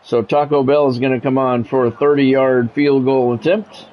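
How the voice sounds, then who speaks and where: A man's voice: mid-range voice, steady tone, moderate pace, muffled recording. A man doing practised radio-style football commentary in clipped phrases, mixed with casual chat, as he streams a video game.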